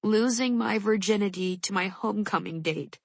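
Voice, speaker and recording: The broken voice of a middle-aged mother in an audiobook, as a woman reader puts her on, hoarse and ragged, choking on tears. She sits close and dry against the mic. Her pitch sits in the middle of a woman's range. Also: normal speed, dry recording. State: crying.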